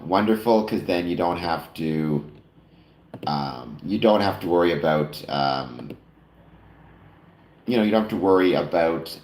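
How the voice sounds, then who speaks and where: A man's voice: low voice, vocal fry. A man hosting a casual late-night chat stream, talking to viewers with many fillers and self-corrections, a little nasal. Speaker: live stream host. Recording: roomy echo.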